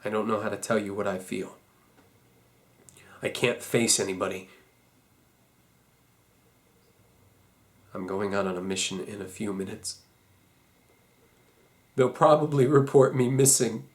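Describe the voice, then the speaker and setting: A man playing an anguished, idealistic young American war veteran, guilt-ridden and outraged, in an intense, halting dramatic stage monologue. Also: fairly high pitch, expressive. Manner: intense, halting, emphatic, emotional.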